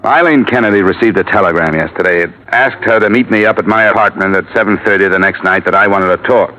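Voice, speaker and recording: An actor playing a tough American private investigator framed for murder, in clipped old radio detective style. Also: male voice, deep voice, fast, sharp tone, a little hiss or hum. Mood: terse, weary. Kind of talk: 1940s-50s radio detective drama acting. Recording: dull old radio broadcast.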